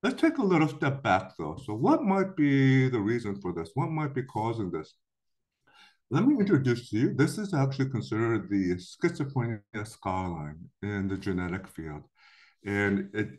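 Lively middle-aged man lecturing. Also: mid-range voice. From Korea. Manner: lively explanatory delivery with acted-out quotes. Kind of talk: recorded slide lecture.

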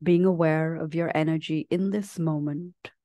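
A woman leading a guided meditation as a teacher, speaking gently and leaving long, unhurried pauses between phrases. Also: deep voice, steady tone, no echo. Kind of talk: guided meditation, slow soothing instruction.

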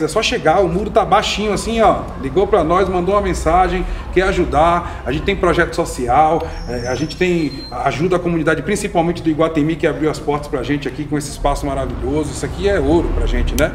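A young man from northeast Brazil hosts a relaxed panel talk, his voice sitting high and light. he speaks earnestly, keeps checking in with "né", and sounds like he's on a sofa in a community room with a faint hum of noise behind him. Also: a little fast. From Salvador, Bahia, Brazil. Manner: earnest, often tags lines with "né". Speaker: podcast host. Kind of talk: casual panel chat.

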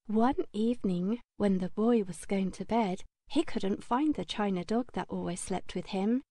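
A woman's voice: mid-range voice, measured pace, warm tone, dry recording, clean studio sound. A woman reading a children's story as an audiobook narrator, in a scripted storytelling style.

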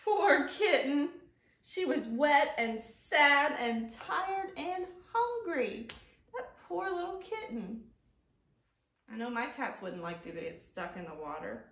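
A woman in her thirties hosting a children's storytime, speaking in a gentle, sing-song, drawn-out way to young viewers. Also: high-pitched, animated. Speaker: library storytime host. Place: living room, indoors, on a couch. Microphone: camera a few feet away. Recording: roomy echo from camera distance.

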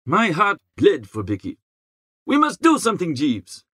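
A man playing a good-natured, easily flustered young upper-class idler who narrates an audiobook in a chatty, slangy, expressive way. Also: high-pitched, unhurried, breathy edge, no echo, clean studio sound, exaggerated voice. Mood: alarmed, concerned. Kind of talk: first-person audiobook narration.

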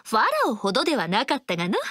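Woman playing playful haughty girl deity, archaic speech, very animated, video game. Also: medium pitch, rapid-fire, natural voice. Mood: haughty, fond. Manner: archaic, self-important phrasing. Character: haughty, playful young goddess girl with old-fashioned speech. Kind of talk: video game character voice clips. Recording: loud game music behind.

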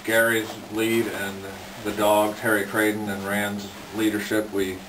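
A middle-aged man casually recounting an expedition as a cave explorer, in a halting, piecemeal way. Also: lowish voice, completely flat delivery. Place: inside a cave. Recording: echoey, distant, noisy camera sound.

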